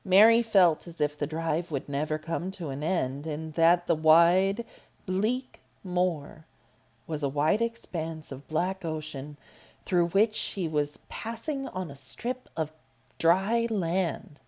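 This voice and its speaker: A woman reading a classic novel aloud, slow and expressive. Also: low voice. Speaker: storybook reader.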